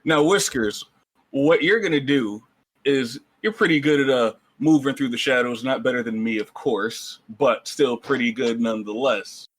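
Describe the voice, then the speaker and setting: A man playing a bossy, menacing rogue schemer in a tabletop game, giving orders in a deliberate, self-satisfied way. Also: mid-range voice, close to an everyday voice. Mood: bossy, smug.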